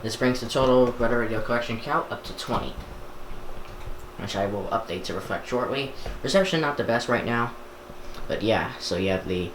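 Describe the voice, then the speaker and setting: A man's voice: mid-range voice, moderate pace. Guy doing a casual unboxing vlog, kinda muttering as he goes, echoey room, noisy background. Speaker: hobbyist vlogger unboxing gadgets. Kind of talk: casual unscripted chat while handling a device.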